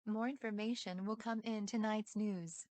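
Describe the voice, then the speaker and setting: A woman's voice: mid-range voice, fairly even intonation, moderate pace, a little mellow, no echo. A woman reading a scripted breaking news report in a formal, synthetic-sounding manner. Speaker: news announcer.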